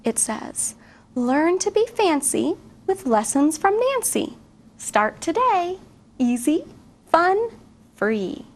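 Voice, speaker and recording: A high-pitched middle-aged woman reads a picture book aloud to children, expressive and often pausing to ask them questions. She takes her time over the words, and a faint hiss of noise sits under her voice. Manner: expressive, questions to young listeners. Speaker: guest reader, teacher.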